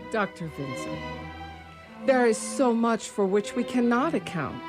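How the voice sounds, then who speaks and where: A woman playing a grave, courteous professor in a radio drama, speaking in halting, formal, inverted phrases. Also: a little high, big pitch swings, music in the background. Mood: grave, sorrowful.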